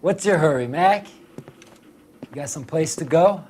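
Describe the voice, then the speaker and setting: A man's voice: fairly high pitch, lively intonation, takes it slowly, a little mellow, a little hiss or hum. An actor playing a menacing 1940s American gangster henchman with a taunting tough-guy swagger in a crime video game.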